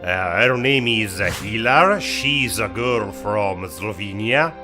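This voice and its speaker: A man hosting a game mod show, narrating an informal review with emphatic, halting phrasing. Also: fairly low pitch, lively intonation, music in the background.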